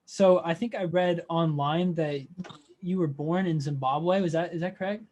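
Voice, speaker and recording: A man's voice: fairly high pitch, normal speed, darkish tone, highs cut off. A man hosting an interview over a video call, easygoing and full of fillers. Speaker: interview host. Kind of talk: casual interview chat.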